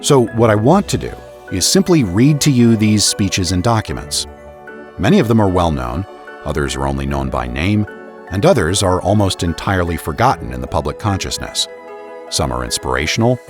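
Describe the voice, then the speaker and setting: Narrator: American man, deep register, animated melody, trained and measured formal delivery for historical readings, music underneath. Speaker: podcast host and narrator. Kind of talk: scripted narration and dramatic reading of historical documents.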